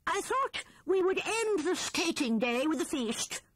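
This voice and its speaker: A woman's voice: very high-pitched, big pitch swings, measured pace, a bit bright, no echo, studio quality, pitched above the performer's own voice, heavily acted. A woman doing a cheery, knowing mole. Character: kindly male mole bringing a feast.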